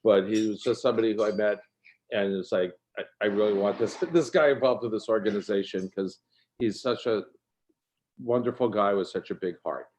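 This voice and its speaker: A man's voice: fairly low pitch, fairly quick, darkish tone. A man introducing a guest speaker on a video call, reading a biography in a friendly, hesitant way. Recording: dull lo-fi video call audio.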